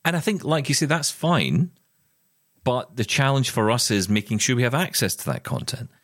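A man's voice: mid-range voice, big pitch swings, moderate pace, a bit bright, no room sound, clean studio sound. A middle-aged man co-hosting a podcast, talking in an impassioned, emphatic way with plenty of restarts. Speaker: podcast co-host. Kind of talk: casual chat.

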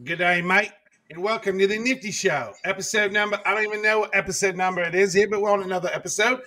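A man's voice: high-pitched, steady tone, fast talker, sharp tone. A man hosting a podcast, teasing and joking in casual banter. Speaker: podcast host.

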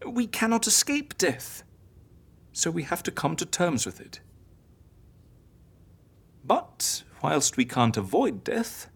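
An eccentric, theatrical woman healer, with a low, husky voice that swings wildly up and down. She coaxes playfully and persuasively, in audio drama style. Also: sing-song, slow, pauses often, full character voice. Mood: coaxing, solemn. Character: eccentric, theatrical female healer, coaxing and irrepressibly cheerful.

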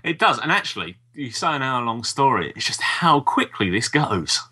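A man's voice: medium pitch, sing-song, moderate pace, pushed and bright, slightly rough. A man talking casually as co-host of a review podcast. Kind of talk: casual review chat about audio dramas.

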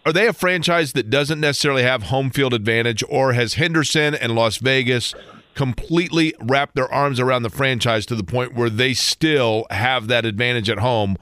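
A man's voice: medium pitch, moderate pace, a bit bright, clean tone, no echo, clean studio sound. A man hosting a sports radio show, asking questions conversationally with long, discursive set-ups.

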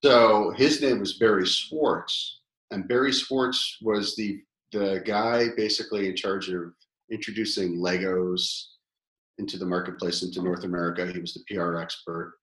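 A man in his fifties, a company founder telling stories in a casual interview, rambling and animated with many fillers. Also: low voice, moderate pace. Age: 50s. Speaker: guest, toy company founder. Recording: echoey room.

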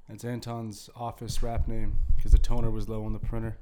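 A man's voice: lowish voice, monotone, normal speed, noisy background. A man co-hosting a podcast, chatting casually in a blunt, profane, wisecracking way. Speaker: podcast co-host. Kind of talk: casual chat.

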